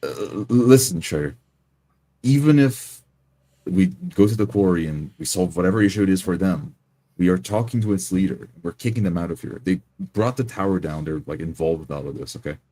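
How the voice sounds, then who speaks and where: A man improvising first-person roleplay as a tabletop game player, in halting phrases with repeated words. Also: low-pitched, expressive, dark tone.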